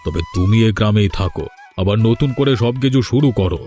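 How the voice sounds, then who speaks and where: A man's voice: on the low side, lively intonation, a little fast, warm tone, natural voice. A man playing a village elder in a moral-tale cartoon, authoritative yet humbled, with a grave and dramatic delivery. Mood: apologetic, pleading. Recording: music behind the voice.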